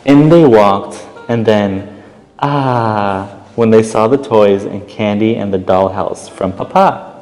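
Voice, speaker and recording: A man with a fairly low voice reads a children's story aloud at a slow pace. He is expressive and pauses often, adding casual comments. The sound has a long hall-like reverb. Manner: expressive, pausing, commenting on the pictures. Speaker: volunteer storytime reader. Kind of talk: read-aloud children's picture book narration with casual asides.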